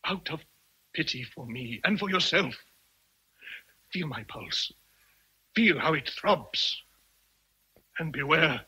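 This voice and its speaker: An actor playing a passionate, domineering English gentleman in a radio drama, intense, pleading and threatening. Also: a little high, lively intonation, slow pace, pauses often, breathy edge, exaggerated voice, poor audio. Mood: impassioned pleading.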